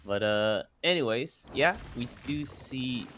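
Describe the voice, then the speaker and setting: A man giving analytic commentary on an esports match, rambling with filler pauses; Vietnamese was his first language. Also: medium pitch, animated, fairly bright tone, a little hiss or hum. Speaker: esports color caster.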